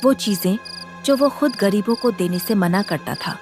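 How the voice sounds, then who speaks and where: Woman doing dramatic story narration, mid pitch, loud music under her. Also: animated, moderate pace. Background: loud background music.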